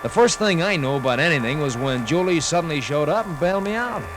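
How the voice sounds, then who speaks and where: Actor as slangy American sidekick, highish voice. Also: male voice, animated, quick pace, close to an everyday voice. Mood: wry recounting. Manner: colloquial, slangy. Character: earnest, hard-boiled American sidekick telling his adventure. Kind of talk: 1950s radio drama, first-person narration. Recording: old radio recording, music underneath.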